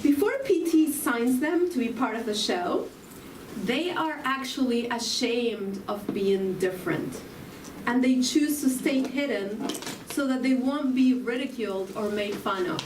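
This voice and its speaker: A woman from Argentina with an Argentine Spanish accent delivers a spiritual talk at a slow, deliberate pace, often turning questions back to her listeners. Her voice sits a little high. She is picked up from some distance in a roomy hall, with faint ambience behind her. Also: a little mellow, a little hiss or hum. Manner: slow, reflective, asks the audience questions. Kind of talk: inspirational sermon.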